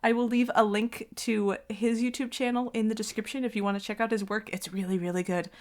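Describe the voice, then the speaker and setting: A woman ASMR creator chatting casually to her listeners in an excitable, emphatic, rambling way. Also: a little high, a little fast.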